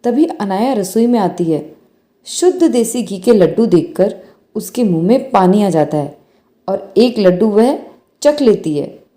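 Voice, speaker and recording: A woman's voice: medium pitch, lively intonation, unhurried, dark tone. A woman storyteller reading a scripted bedtime moral story. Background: faint music.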